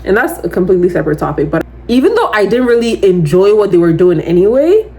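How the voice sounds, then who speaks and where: A young woman in her twenties with a naturally loud, mid-pitched voice, talking straight to her listeners as a podcast host. She rambles casually, fills her speech with "like", and keeps breaking into lively asides. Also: fast. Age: 20s. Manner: chatty, rambling, heavy use of "like"; animated, slips into quoting others. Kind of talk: casual solo chat to camera.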